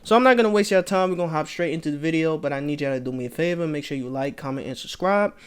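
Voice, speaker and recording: A man's voice: on the high side, very fast, clear, resonant tone. A man hosting a reaction video, talking casually and straight to his viewers, often repeating his phrases. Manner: laid-back, repeats phrases, talks to viewers directly. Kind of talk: casual commentary.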